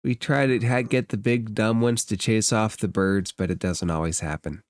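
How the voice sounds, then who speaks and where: A man's voice: fairly low pitch, steady tone, normal speed, dry recording, clean studio sound, natural voice. A man playing a small, friendly space hamster in a tabletop game, talking in a casual, hesitant, plain-spoken way. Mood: casual, hopeful.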